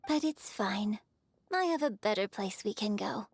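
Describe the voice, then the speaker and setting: A woman's voice: medium pitch, animated, measured pace, slightly rough. A woman playing a gracious, refined young opera star in a video game, speaking politely and formally. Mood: relieved, gracious.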